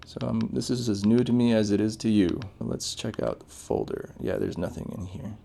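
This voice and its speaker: Male tech reviewer, low and gravelly with a mellow tone, unscripted and conversational, thinking out loud. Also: expressive, normal speed. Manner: off-the-cuff, thinking aloud while reading out menus. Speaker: gadget reviewer, host. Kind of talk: casual unboxing, first-impressions commentary.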